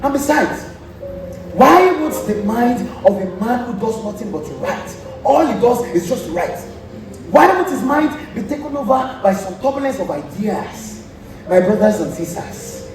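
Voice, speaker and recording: A man's voice: high-pitched, lively intonation, unhurried, music in the background. A Nigerian man acting a boastful, teasing lord in a stage play, with exclamatory delivery. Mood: scornful. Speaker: student stage actor. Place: large indoor theatre stage. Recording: very echoey theatre, distant, clear noise.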